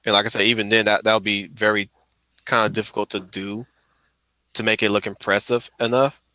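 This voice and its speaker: A man's voice: lowish voice, little pitch movement, fairly quick, pushed and bright, dry recording. A man chatting casually on a stream in an emphatic, slangy way, often repeating his phrases. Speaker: stream host. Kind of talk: casual chat about films.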